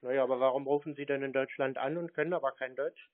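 A man's voice: mid-range voice, steady tone, fast talker, no echo. A man arguing on a phone call in a contrarian, pedantic manner. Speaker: scam-baiter taking calls. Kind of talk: argumentative phone conversation. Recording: narrow, dull phone line.

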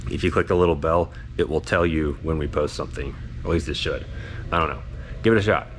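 A man's voice: very deep voice, fast talker, slight background noise. A young man hosting a channel, talking casually and asking curious questions. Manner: curious, asks short questions. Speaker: channel host. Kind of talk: casual on-camera chat.

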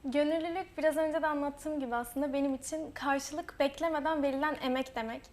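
A woman's voice: sits high, steady tone, a little fast. A young woman, a student author, answering interview questions earnestly. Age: young adult. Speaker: guest, student author and association vice-president.

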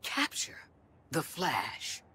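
An actor playing a commanding, scheming villain in a video game, giving curt orders. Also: male voice, extremely slow, bright, forceful tone, exaggerated voice. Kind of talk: video game character acting.

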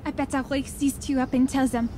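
Actress as high-voiced, hopeful missionary woman. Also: little pitch movement, brisk, natural voice. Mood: awed, hopeful. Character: awed, hopeful missionary woman newly arriving abroad. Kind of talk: radio drama acting. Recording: vehicle noise behind.

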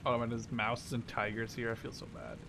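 A man of medium pitch talks casually through a video game with a friend. He jokes and swears as he comments on the action, over clearly audible game noise. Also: big pitch swings, brisk. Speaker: gamer playing with a friend. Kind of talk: casual gaming chat, commentary.

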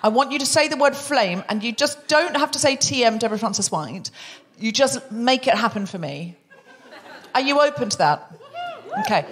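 A woman's voice: fairly high pitch, lively intonation, moderate pace, fairly bright tone. A woman hosting a live comedy podcast, playful and self-mocking in her banter. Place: live show before an audience.

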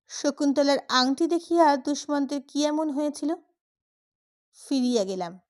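A woman's voice: sits high, a little mellow. A woman reading a literary short story aloud as a dramatic narration. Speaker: audiobook narrator.

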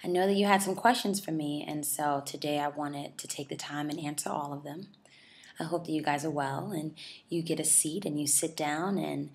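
A woman's voice: sits low, a bit bright. Chatty young woman from New York. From New York City. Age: 20s. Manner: friendly, chatty, laughs often. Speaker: model and charity ambassador. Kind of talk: casual video answering viewer questions.

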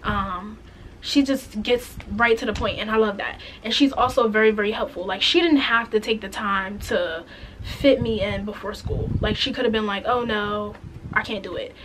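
A raspy-voiced woman in her twenties telling a frank, chatty story-time vlog to camera, full of "like" and "um". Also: mid-range voice, moderate pace, fairly bright tone, slight background noise, low-quality recording. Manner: chatty, frank, many "like" and "um" fillers. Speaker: vlogger. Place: indoors, plain light wall, small room. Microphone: camera in front, head and shoulders.

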